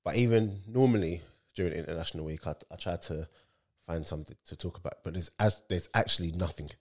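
A man's voice: sits low, quick pace, darkish tone. A man hosting a casual football podcast, loose and conversational. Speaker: podcast host.

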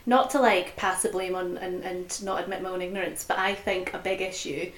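A woman's voice: lowish voice, steady tone, a little fast. Emphatic young British woman, echoey. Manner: chatty and emphatic, venting opinions. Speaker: podcast co-host. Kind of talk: casual chat. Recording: roomy echo.

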